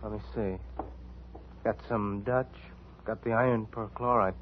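Actor doing plain unhurried shop guy, clipped lines, muffled hissy old radio. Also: fairly low pitch, fairly even intonation, close to an everyday voice. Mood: helpful, businesslike. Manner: terse, unhurried. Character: plainspoken male art-supply shopkeeper. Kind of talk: 1950s radio drama acting.

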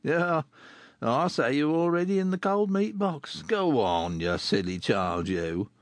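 A man voicing a rough male street tough in an audiobook, ironic and teasing. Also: a little high, sing-song, a little slow, no echo, pitched above the performer's own voice. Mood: mocking.

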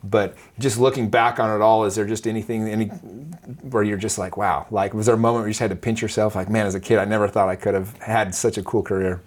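Utah guy hosting a podcast, kinda low voice, rambly eager questions, lots of um and uh. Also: little pitch movement, fast talker. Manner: rambling, enthusiastic questions with fillers. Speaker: podcast host. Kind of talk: casual interview chat.

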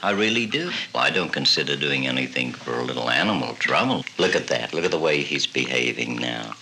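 A man's voice: rumbling low, animated, brisk, gravelly, background noise. A man giving casual interview answers, recorded on a 1950s portable recorder.

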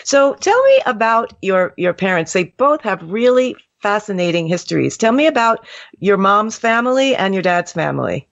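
A woman's voice: mid-range voice, big pitch swings, moderate pace, creaky, no echo. A woman hosts an interview and draws out her guest with attentive, encouraging questions. The recording is dry, with no room sound, and slightly dull and lo-fi. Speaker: host. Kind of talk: conversational interview.

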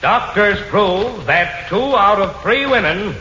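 A man announcing a 1940s radio comedy in a deadpan, deliberate way. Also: high-pitched. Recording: noisy muffled 1940s radio, audience.

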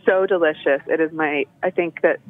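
A woman's voice: low voice, fairly even intonation, normal speed. Phone-in guest: young woman, bubbly, lots of fillers, thin phone-line sound. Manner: bubbly, laughing often, many fillers. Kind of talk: casual phone interview.

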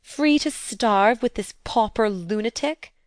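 Woman acting a vehement, indignant stepmother, pitched up. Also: a little slow, no room sound, clean studio sound, lightly acted. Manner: loud, vehement, scornful. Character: wrathful, indignant younger stepmother in period fiction.

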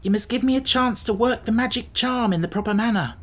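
Reader playing an anxious, high-voiced young boy. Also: brisk, fairly bright tone, close to an everyday voice. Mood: nervous. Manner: nervous, urgent.

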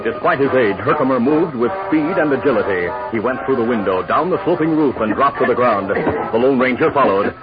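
A man's voice: medium pitch, animated. Dramatic man narrating an old radio western. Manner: dramatic, deliberate. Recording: dull vintage radio recording with music.

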